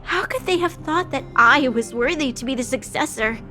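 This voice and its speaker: Game casting: earnest young noblewoman, heir to rule, higher register, formal and troubled, unsure of herself, music underneath. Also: lively intonation, moderate pace, bright, forceful tone. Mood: ashamed, self-doubting. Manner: formal, hesitant, introspective. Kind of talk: video game voice acting. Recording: music behind the voice.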